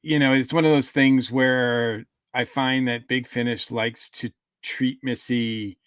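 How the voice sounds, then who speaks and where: A man speaks as a podcast co-host in casual discussion. He picks his way through thoughts haltingly and at a measured step. The sound is close and dry, with no room on it. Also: medium pitch, fairly even intonation, fairly bright tone, clean tone, dry recording.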